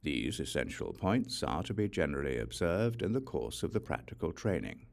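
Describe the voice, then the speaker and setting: A man reading a religious text as an audiobook, with a measured, formal, instructional delivery. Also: low voice, sing-song, creaky. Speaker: audiobook reader. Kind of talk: scripted narration of a religious text.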